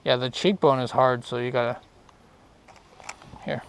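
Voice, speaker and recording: A young man casually commenting while fishing from a small boat on a lake, easygoing and upbeat, getting excited over each catch. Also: mid-range voice, measured pace, vocal fry, a little hiss or hum. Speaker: fishing vlogger. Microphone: action camera on the boat.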